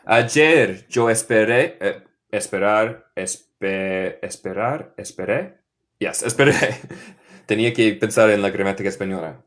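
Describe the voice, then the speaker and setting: Slow-speaking American man teaching English. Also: lowish voice, a bit bright. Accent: American, Midwestern. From northern United States. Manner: slow, repeats example sentences several times. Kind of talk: live online English lesson taught in Spanish.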